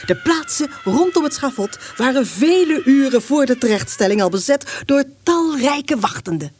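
A woman's voice: high-pitched, lively intonation, normal speed, darkish tone, slight background noise. A woman reading scripted narration for a radio drama in a formal, expository manner.

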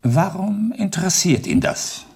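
An actor playing a worldly, condescending retired judge in a radio drama, old and raspy, musing archly. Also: male voice, high-pitched, animated, measured pace, darkish tone, heavily acted. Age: older. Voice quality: gravelly, raspy. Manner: archly addresses listener in third person, musing. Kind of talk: theatrical radio drama acting.

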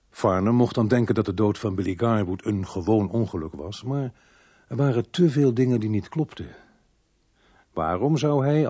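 A sober, dogged police inspector in a Dutch radio play, with a Netherlands accent. The voice is low and slightly husky, recorded dry and close, and measured and understated in both dialogue and narration. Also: male voice, mellow, dry recording. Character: sober, dogged male police inspector telling his own story. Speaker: actor, lead role and narrator of a radio play. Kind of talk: Dutch radio drama acting and first-person narration.

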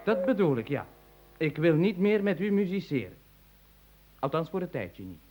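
An actor playing a touchy, stubborn musician in an absurd comic radio play, speaking in a pompous, formal manner. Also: male voice, a little high, very animated, moderate pace, a little mellow. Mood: indignant, cool.

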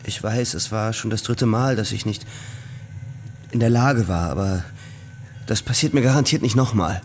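A man's voice: fairly low pitch, normal speed, slight background noise, close to an everyday voice. A man playing an anxious, calculating urban character in a radio drama, hesitant and brooding, sometimes blurting things out. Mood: unsure. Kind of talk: radio drama acting, dialogue and inner monologue.

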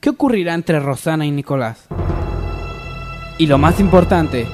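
A man plays a melodramatic radionovela narrator with a slightly high male voice. He poses each cliffhanger question with heavy suspense, as dramatic music plays clearly behind him. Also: expressive, moderate pace, lightly acted. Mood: suspenseful, dramatic. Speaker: narrator of a radio soap opera parody.

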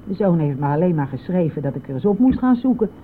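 A woman's voice: fairly low pitch, big pitch swings, fast. An older woman playing a curt, stubborn village mother-in-law in a radio play, answering tersely and defensively. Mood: reticent, stubborn. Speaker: radio drama actor. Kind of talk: Dutch radio play acting. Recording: tinny narrow-band old radio recording, vehicle noise.

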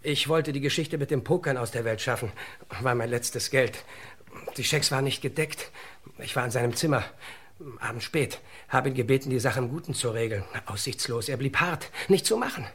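Husky, desperate man on the run. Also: mid-range voice, fairly bright tone. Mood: anxious, explaining. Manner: urgent, halting, pleading. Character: desperate, pleading male murder suspect on the run. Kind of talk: vintage German crime radio play acting.

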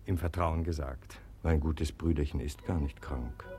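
An actor playing a sensible, amused brother in a radio comedy, reasoning and confiding with gentle mockery. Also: male voice, rumbling low, fairly even intonation, unhurried, a little hiss or hum. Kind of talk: German radio play comedy acting.

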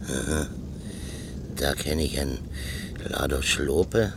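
An actor playing a gruff, stubborn, cheeky building-site worker in a naturalistic German radio drama, with a gravelly voice and a mocking, colloquial way of talking. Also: male voice, very deep voice, slow pace, background noise, exaggerated voice. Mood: gruff, chatty.

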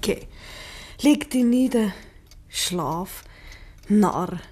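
Slow, sleepy Bavarian village wife. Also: female voice, medium pitch, lively intonation, darkish tone, husky, heavily acted. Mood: grumbling. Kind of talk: 1950s German dialect radio play acting. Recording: 1950s radio recording, dull, faint noise.

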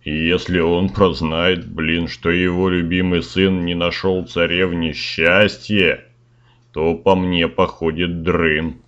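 A man's voice: deep voice, little pitch movement, slow, a bit bright, clear, resonant tone, muffled recording, exaggerated voice. A man voicing Ivan-type peasant fool, a slangy boastful lad, in rhymed comic verse for a fairy-tale audio story. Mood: worried. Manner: slangy, colloquial rhymed delivery.

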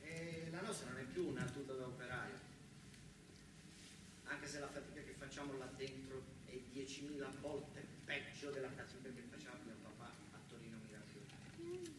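A man's voice: mid-range voice, animated, moderate pace. A man with a southern Italian accent performing a theatrical monologue as an exasperated, self-deprecating factory worker, talking colloquially and emphatically with repeated words. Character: exasperated male car-factory worker and young father, talkative, self-deprecating. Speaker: stage actor performing a monologue. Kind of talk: theatrical narrative monologue in character. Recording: very echoey, noisy distant stage recording.

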